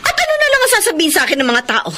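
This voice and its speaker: High, animated scolding rich mother. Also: racing, slight background noise, exaggerated voice. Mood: exasperated scolding. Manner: scolding, indignant. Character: exasperated, proud wealthy businesswoman mother. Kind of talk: radio drama acting.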